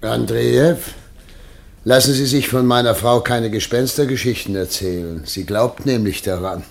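A man's voice: mid-range voice, normal speed, gravelly, natural voice. Man acting a jovial, teasing older governor. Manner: jocular, teasing, avuncular. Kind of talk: German radio play acting.

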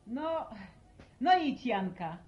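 High-voiced, fussy village mother. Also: very animated, drawn-out words, a little mellow, full character voice. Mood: grumbling. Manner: scolding, folksy, suspicious. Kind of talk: Polish radio serial acting. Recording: dull, roomy old radio recording, faint noise.

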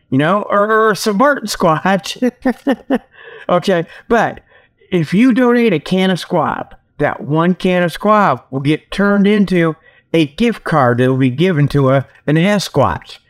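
A man's voice: high-pitched, lively intonation, measured pace. A man hosting a comedic show in a folksy, joking, easily sidetracked monologue, talking into a tablet while sitting outdoors. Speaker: host of a comedic report show. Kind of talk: rambling casual humorous monologue. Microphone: tablet's built-in mic, resting on leg.

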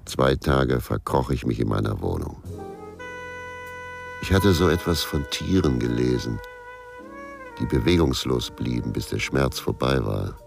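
An actor playing a brooding, weary male ex-policeman in a radio crime drama, gravelly, sombre and measured. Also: rumbling low, exaggerated voice. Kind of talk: radio crime drama, introspective narration and dialogue.

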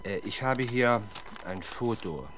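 A man's voice: mid-range voice, lively intonation, slow pace, fairly bright tone. An actor playing a probing, politely persistent male police inspector in a 1970s German radio crime drama. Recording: faint background noise, vintage radio production.

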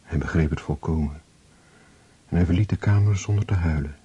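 Deep, dark, husky male storyteller. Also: brisk, muffled recording. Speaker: narrator. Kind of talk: scripted literary narration for radio drama.

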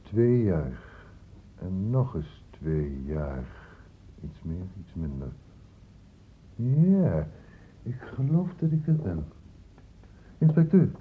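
An actor playing a thoughtful, quietly insistent investigator in a Dutch radio play, deliberate and questioning. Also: male voice, sits low, big pitch swings, breathy edge, full character voice. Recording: muffled, hissy old radio recording.

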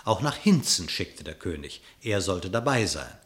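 A man narrating a verse fable for a radio drama with measured, formal diction. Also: deep voice, big pitch swings.

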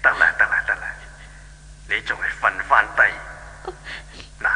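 A man with a Hong Kong Cantonese accent playing a wise old heavenly god, preaching and admonishing in drawn-out phrases in a 1970s radio drama. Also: fairly low pitch, pushed and bright. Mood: reassuring. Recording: noisy hum, long reverb, old radio recording.

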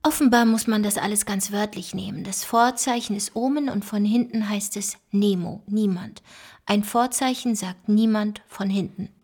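A woman's voice: medium pitch, moderate pace, darkish tone, slightly rough. An actress playing an analytical, knowledgeable female investigator with dry wit, explaining clues in a radio drama. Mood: explanatory.

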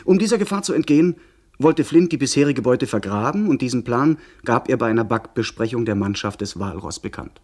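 An actor in a 1970s German radio play, narrating a pirate adventure as someone who lived through it, in scripted, measured style. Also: male voice, mid-range voice, animated, a little mellow, close to an everyday voice. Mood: measured, grave. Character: pirate-tale narrator recalling his own adventures, measured.

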